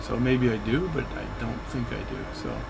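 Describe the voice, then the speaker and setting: A man's voice: medium pitch, moderate pace, vocal fry, music in the background, poor audio. A man narrating his flight sim session in a chatty, rambling way, often correcting himself mid-sentence. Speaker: flight sim video creator. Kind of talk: casual narration while playing a flight sim.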